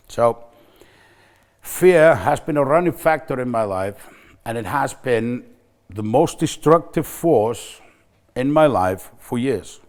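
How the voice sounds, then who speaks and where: A man's voice: mid-range voice, a little mellow. Icelandic man speaking candidly. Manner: candid, reflective, with frequent restarts and fillers. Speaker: recovery center staff member. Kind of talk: personal talk to camera.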